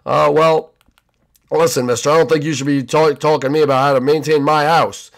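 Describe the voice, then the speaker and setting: A man voicing an excitable, indignant young boy who talks loud and high. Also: a little fast, vocal fry.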